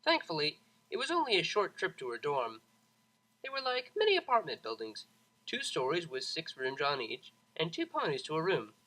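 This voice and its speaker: A storyteller reads scripted fiction aloud. The voice sits at a middling pitch and is bright and crisp in tone. The delivery is expressive, with plenty of melodic movement. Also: normal speed, rough recording. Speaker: fan fiction audiobook narrator.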